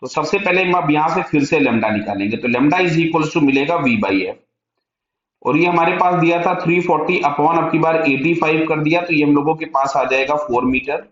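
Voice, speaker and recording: A man's voice: a little high, moderate pace, a bit bright. Man, Indian accent, physics teacher, step-by-step explaining, self-answered check questions, strong room echo, dull muffled recording. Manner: asks short check questions and answers them himself; mixes Hindi with English technical terms. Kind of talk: step-by-step lesson, working through a problem aloud.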